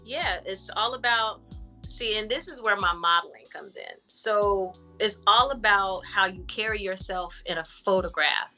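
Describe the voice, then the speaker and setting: Guest actress, 30s woman, deep yet bright, frank and lively, faint music under. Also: unhurried. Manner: animated, frank, playful asides. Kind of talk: casual interview chat.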